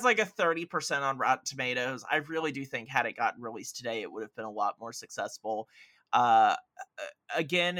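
A man's voice: medium pitch, pushed and bright. A man chatting casually about films as a podcast guest, opinionated, emphatic and effusive, with rambling asides. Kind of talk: casual film chat.

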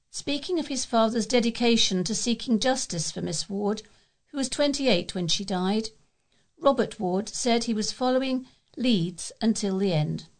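Female volunteer newsreader, higher-pitched voice, scripted local news reading. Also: a little mellow.

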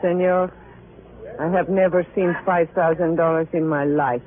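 Female actor as sultry, wary Latin dancer, Spanish accent, measured phrases, 1950s radio crime drama, narrow vintage sound. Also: fairly low pitch, steady tone, natural voice. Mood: resigned, defiant. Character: guarded, sultry Latin dance-hall woman. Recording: tinny vintage radio, music behind.

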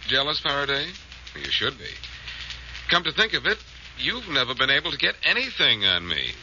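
An actor playing a suave, wisecracking detective hero with wry, teasing banter and an American accent, in 1940s radio drama style. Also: male voice, medium pitch, sing-song, normal speed, lightly acted. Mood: wry, taunting. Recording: muffled, noisy old radio recording.

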